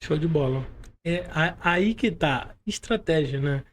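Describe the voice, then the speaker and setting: A man's voice: a little high, expressive, measured pace, a little hiss or hum. A man with a Brazilian Portuguese accent hosting a casual podcast interview, speaking informally with slang and frequent tag questions seeking agreement. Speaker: podcast host.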